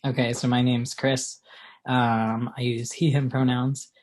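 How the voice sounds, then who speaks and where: A man's voice: mid-range voice, level tone, a little slow, a little mellow. A man in his late twenties from Texas, co-owner of a small clothing company, answering interview questions in a chatty, filler-heavy way. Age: 20s. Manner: chatty, lots of fillers, laughs easily.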